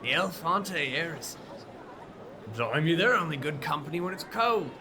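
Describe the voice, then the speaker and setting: An actor playing a kindly, easygoing police officer, talking in a folksy, chatty way. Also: male voice, fairly high pitch, lively intonation, normal speed, close to an everyday voice. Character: kindly bumbling London police officer, adult man. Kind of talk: audio drama acting. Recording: clear background noise.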